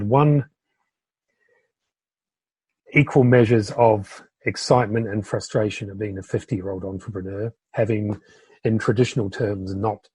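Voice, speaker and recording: A man in his fifties from the United Kingdom, a podcast guest and entrepreneur, speaking thoughtfully with pauses and fillers. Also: on the low side, darkish tone, breathy edge, no room sound, rough recording. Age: 50s. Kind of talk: conversational interview.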